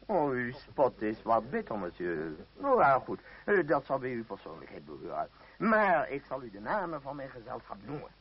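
An actor playing a florid, pompous leader of a travelling theatre troupe in a radio play, theatrical and grandiloquent. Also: male voice, mid-range voice, wildly swooping pitch, normal speed, husky, full character voice. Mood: self-important. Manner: theatrical, grandiloquent, savouring words. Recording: muffled old radio play recording.

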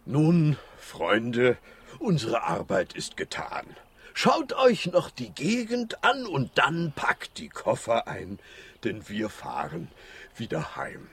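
An actor playing a kindly animal doctor with a husky voice in a children's radio play. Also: male voice, medium pitch, huge pitch swings, slow pace, a bit bright, exaggerated voice. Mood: satisfied, decisive. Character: kindly male animal doctor leading his friends.

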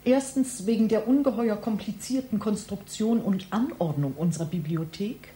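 An actress playing a self-important, touchy library clerk in a satirical radio play, speaking in a pedantic, boastful and wordy way. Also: female voice, mid-range voice, normal speed, mellow, a little hiss or hum, lightly acted. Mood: self-important, officious.

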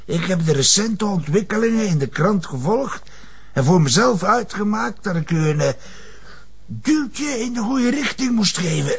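A man's voice: high-pitched, animated, measured pace, breathy edge, heavily acted. An actor playing a wily retired police commissioner in a crime drama, an older man with a Flemish accent and a teasing, chuckling manner. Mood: sly, measured. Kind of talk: television crime drama acting.

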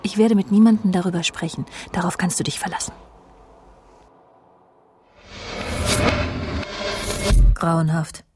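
Eine Schauspielerin mit rauchiger Stimme spielt in einem Krimi-Hörspiel eine stolze, scharfzüngige Fernsehmoderatorin, die trocken und sardonisch ihre Geschichte erzählt. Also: female voice, fairly low pitch, fast talker, dark tone, faint music behind, natural voice. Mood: cool, disdainful. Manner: dry, sardonic, self-assured. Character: proud, sharp-tongued woman TV presenter narrating her story. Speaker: actress, lead and narrator in radio play. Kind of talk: German radio crime drama, intimate first-person narration and dialogue.

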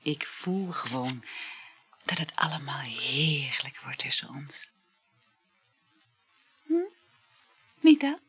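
Flighty sensual woman, very breathy, animated intonation, playful murmurs, radio drama. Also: rumbling low, takes it slowly, pauses often, full character voice. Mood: coy, dreamy. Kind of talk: Dutch radio drama acting, intimate dialogue.

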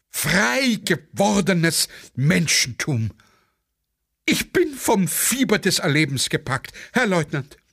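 A man's voice: high voice, sharp tone, full character voice. A man voicing a theatrical, affectedly thrilled female war correspondent in a declamatory, gushing manner, as part of a dramatic play reading. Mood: rapturous. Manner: affected, declamatory, breathlessly enthusiastic.

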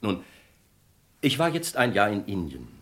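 An actor playing a suspicious, insistent gentleman in a German crime radio play, formal and pointed. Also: lowish voice, wildly swooping pitch, measured pace, heavily acted. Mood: grave, explanatory. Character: suspicious, insistent gentleman reporting a friend's death.

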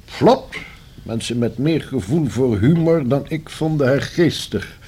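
A male actor in a Dutch radio play as a guarded, discreet professional man, speaking in a stiff, formal, measured way with a husky voice. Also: medium pitch, lively intonation, mellow, a little hiss or hum, natural voice. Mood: reluctant, curt.

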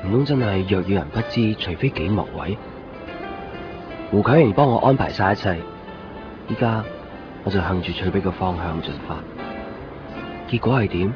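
A man's voice: on the low side, close to an everyday voice. A man acting an earnest, uncertain young lovesick man in a 1990s Cantonese radio drama, speaking hesitantly with a Hong Kong accent. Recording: dull lo-fi radio recording.

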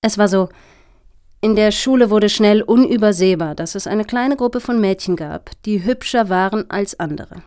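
A woman's voice: medium pitch, moderate pace, darkish tone, smooth, close to an everyday voice. A woman playing a self-righteous middle-aged female activist in a satirical radio play, speaking emphatically and preachily. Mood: indignant, earnest.

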